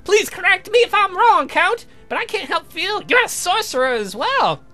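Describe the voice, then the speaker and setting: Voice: very high-pitched, big pitch swings, moderate pace, bright, forceful tone, faint music behind, voice pushed up, exaggerated voice. A woman voicing a gracious, protective old sea captain's wife, polite and old-fashioned, for a visual novel game. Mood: approving, curious.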